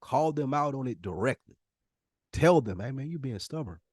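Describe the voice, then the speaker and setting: A man's voice: mid-range voice, animated, moderate pace, darkish tone, husky, dry recording, clean studio sound. An American man co-hosting a podcast, giving conversational advice in an emphatic way and repeating phrases for weight. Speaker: podcast co-host.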